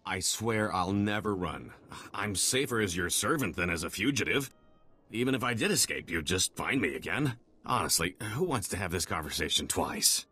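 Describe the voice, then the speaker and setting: A man playing a slippery, boastful middle-aged fugitive con artist in a video game, talking in theatrical, wheedling salesman patter with a husky voice. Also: sits low, animated, normal speed, pushed and bright, heavily acted. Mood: pleading, persuasive.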